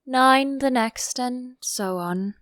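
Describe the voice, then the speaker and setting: A melancholy old turtle creature from a children's storybook, acted out by a woman, mournful and easily offended. Every phrase is dragged out very slowly and heavy with sighs. The sound is dry and close. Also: sits high, a bit bright, no echo, voice pushed up. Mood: weary, wistful. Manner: slow, drawn-out, mournful delivery. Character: melancholy, sighing old male Mock Turtle, touchy. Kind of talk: audiobook character voice.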